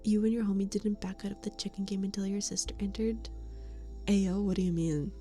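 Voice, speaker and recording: A woman's voice: mid-range voice, level tone, a little fast, mellow, soft background music. A woman streamer chatting casually with her live chat, giggling often and sometimes dropping to a whisper. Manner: giggly, laughs often between remarks. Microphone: studio condenser mic.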